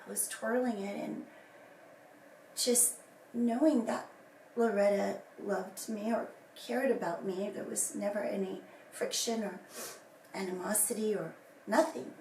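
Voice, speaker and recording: A woman giving a rambling personal account, her voice still hoarse and breathy from illness, often dropping into whispers. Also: mid-range voice, steady tone, unhurried, mellow, a little hiss or hum. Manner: rambling, many fillers and restarts. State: recovering from lost voice, hoarse and whispery. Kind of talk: casual personal statement to camera. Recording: echoey room from a distance, faint ambience.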